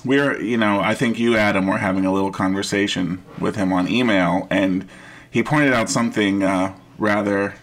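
A man co-hosting a casual podcast chat, with a gruff voice and a stammering, hesitant way of talking. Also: fairly low pitch, steady tone, moderate pace, fairly bright tone. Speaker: podcast co-host.

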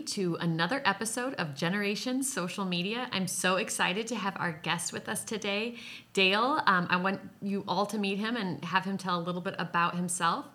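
A woman's voice: mid-range voice, bright, forceful tone. A woman hosting a podcast, friendly and upbeat, with a few ums. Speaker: podcast host. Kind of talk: casual interview hosting.

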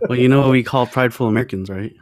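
A man's voice: medium pitch, expressive, fast, darkish tone. A man chatting casually in a teasing, joking manner. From Filipino background.

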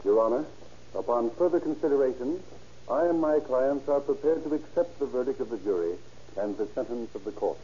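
A man's voice: medium pitch, animated, brisk, pauses often, lo-fi sound, close to an everyday voice. A man playing a formal old-radio attorney. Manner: formal, oratorical. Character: flustered male defense attorney in court. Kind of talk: 1930s radio drama acting.

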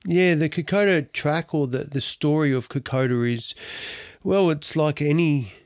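A man's voice: fairly high pitch, a little slow, dry recording. Aussie guy on a podcast, earnest, swears a bit, restarts a lot, dead dry sound. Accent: Australian. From Australia. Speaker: podcast guest. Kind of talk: candid interview storytelling.

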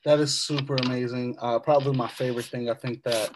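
A man's voice: medium pitch, fairly even intonation, a little fast, noisy background. A young man hosting a casual podcast interview, effusive and full of ums and likes. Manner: effusive, heavy with fillers. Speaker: podcast host.

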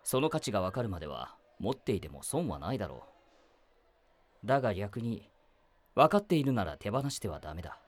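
A lively, melodic male voice plays a meddling teacher, acted by a man in a voice drama. He teases and probes a student, then lectures in a wry tone. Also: fairly low pitch, a little fast. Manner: wry, lecturing, gently probing. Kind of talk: voice drama acting.

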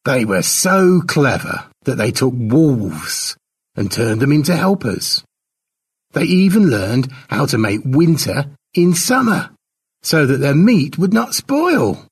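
Guy doing an old-time tribe storyteller, slow n dramatic, husky, pitched up, big swoops, audiobook tale. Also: big pitch swings, far from the mic, heavily acted. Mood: grand, wondering.

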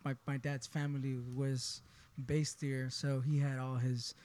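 Barbershop owner from Honduras, twenties, easygoing storyteller, smooth tone. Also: male voice, medium pitch, level tone, unhurried, a little mellow. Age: 20s. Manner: laid-back, often says "you know what I mean". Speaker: podcast guest, barbershop owner. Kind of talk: casual personal storytelling.

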